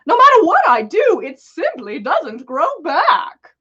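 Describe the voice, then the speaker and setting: A woman voicing a vain, whiny male dark lord in a haughty, self-pitying, theatrical way for a fan fiction story. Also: extremely high pitch, huge pitch swings, a little slow, dull audio, voice pushed up, full character voice. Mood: wounded vanity.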